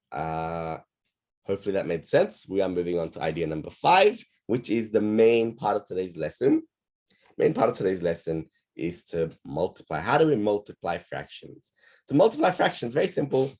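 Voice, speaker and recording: A man teaching maths on an online class call, his voice going hoarse, explaining patiently step by step. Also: lowish voice, darkish tone.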